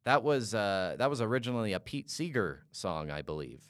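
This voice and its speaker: A man from Canada hosting an interview and asking long, halting questions full of "uh"s and restarts. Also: fairly low pitch, big pitch swings, no echo, clean studio sound. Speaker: podcast host.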